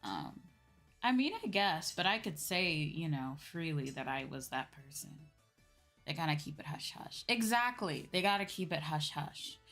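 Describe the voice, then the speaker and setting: Female streamer, low register, informal and explanatory, talking to chat over faint music. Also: lively intonation, normal speed, fairly bright tone. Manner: informal, explanatory, often repeats points and checks with "right?". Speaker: streamer, VTuber host. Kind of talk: casual livestream chat answering viewers.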